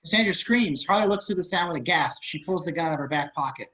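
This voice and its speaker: A man's voice: fairly high pitch, lively intonation, quick pace, fairly bright tone. A man reading scripted action narration and stage directions over a video call. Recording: dull video-call audio.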